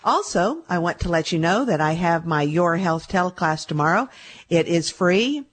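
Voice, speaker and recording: A middle-aged woman hosts a call-in radio show, talking in a loose, chatty way and circling back over her points. Her voice sits a little low and still carries traces of a recent bout of laryngitis. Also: animated, normal speed. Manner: chatty, repeats herself with fillers. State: recovering from laryngitis. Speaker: radio show host and medical intuitive. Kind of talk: casual radio monologue.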